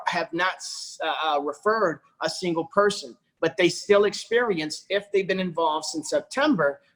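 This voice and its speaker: A man's voice: high-pitched, a bit bright, rough recording. A man giving a persuasive sales presentation on a corporate video call, often calling out to his audience. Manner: persuasive, repeats "folks" and "okay".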